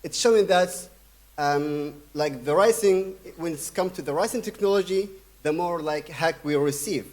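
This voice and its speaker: Man giving a halting keynote, fairly high voice. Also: a little mellow. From Sudan. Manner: halting, many fillers and restarts. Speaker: conference speaker. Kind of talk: conference keynote talk.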